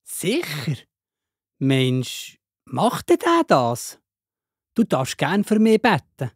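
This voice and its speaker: A woman's voice: deep voice, huge pitch swings, very slow, slightly rough, dry recording, studio quality, heavily acted. A woman voicing Saulus, a stern young man, in a children's audio drama, speaking theatrically with a Swiss German accent. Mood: astonished. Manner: exclaiming, theatrical.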